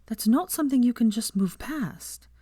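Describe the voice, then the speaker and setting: A woman acts out an earnest young woman from a storybook, her pitch lifted well up. She speaks softly and politely, with a nervous, tentative, wounded air. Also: lively intonation, moderate pace, dry recording, studio quality. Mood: quietly hurt.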